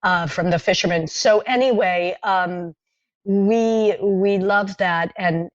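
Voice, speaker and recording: A middle-aged woman whose first language is French, recalling her childhood in a podcast interview, talking in a thoughtful, halting way with many fillers and restarts. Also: medium pitch, no echo, muffled recording. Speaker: playwright guest.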